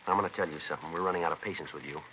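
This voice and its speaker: An actor playing a dry, hard-nosed police sergeant with terse, clipped delivery in 1950s radio crime drama. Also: male voice, deep voice, rapid-fire, natural voice. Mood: terse, pressing. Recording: dull, echoey, very noisy radio recording.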